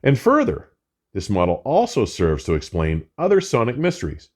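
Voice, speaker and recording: An older man with a low-pitched voice hosts a scripted equipment review. His intonation swings widely as he reads, stressing key terms with care. The phrasing is formal and measured. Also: wildly swooping pitch, darkish tone. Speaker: audio equipment reviewer and host. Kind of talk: scripted product review narration.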